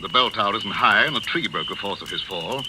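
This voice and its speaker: Earnest, animated radio-drama man, acted, noisy recording. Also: fairly low pitch, fairly quick, close to an everyday voice. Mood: stern. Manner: earnest, emphatic, formal. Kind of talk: old-time radio mystery acting.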